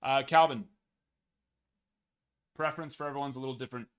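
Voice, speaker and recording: A man's voice: mid-range voice, fairly quick, clear, resonant tone. Young male card-break host, easygoing, rattles off numbers, voice getting worn. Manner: casual, reads numbers in a steady list. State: losing his voice. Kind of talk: live card break chat.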